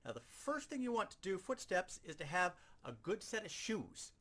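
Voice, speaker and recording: A man's voice: high-pitched, very animated, moderate pace, fairly bright tone. A man giving an instructional demonstration as a sound effects teacher, explaining things step by step and repeating key points.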